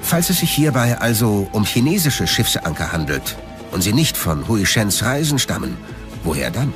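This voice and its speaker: A male documentary narrator speaks in a fairly low voice with very varied intonation. He reads a prepared script, and music plays behind him. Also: sing-song, normal speed.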